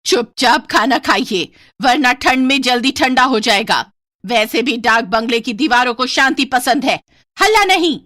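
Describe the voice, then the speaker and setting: A woman's voice: a little high, lively intonation, a little slow, sharp tone, dry recording, studio quality. A woman voicing a stern, ominous old village caretaker woman in a horror story, with curt commands. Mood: ominous scolding.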